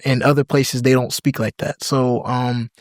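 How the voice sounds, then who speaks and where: A man's voice: medium pitch, normal speed, dry recording. Young american guy chatting loose on a podcast, like and you know everywhere, dry mic. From United States. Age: young adult. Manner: relaxed, filler-heavy, drops into other languages. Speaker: podcast guest. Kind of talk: casual interview chat.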